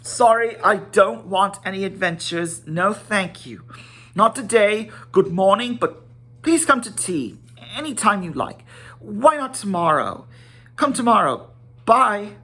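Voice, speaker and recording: A man voicing a fussy, flustered, excitable little hobbit gentleman in a storybook reading, polite, rambling and full of exclamations. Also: high voice, unhurried, slightly rough, voice pushed up, full character voice. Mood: flustered, refusing.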